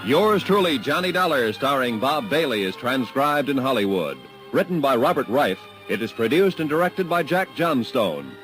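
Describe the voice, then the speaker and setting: A man's voice: mid-range voice, very animated, normal speed, hardly any pauses, a bit bright, poor audio. A male radio announcer reading credits in a formal, declamatory manner. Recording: old radio recording with faint music.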